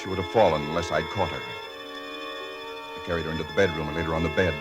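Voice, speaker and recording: A deep-voiced, shaken husband. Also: male voice, steady tone, loud music behind the voice. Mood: haunted. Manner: tense, halting, stammers under strain. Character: shaken young husband telling his story. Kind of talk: 1940s radio drama narration.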